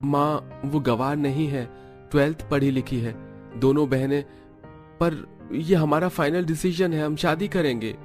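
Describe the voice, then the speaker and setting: A man's voice: on the high side, unhurried, darkish tone, faint music behind, pitched above the performer's own voice, close to an everyday voice. A man voicing an earnest, determined grown son in a cartoon family drama, speaking firmly to his parents. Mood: defiant.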